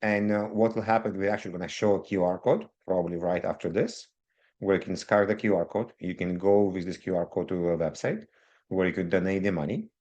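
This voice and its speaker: A man's voice: sits low, fairly quick, dark tone, muffled recording. A man interviewing guests, explaining practical details in a casual, conversational way.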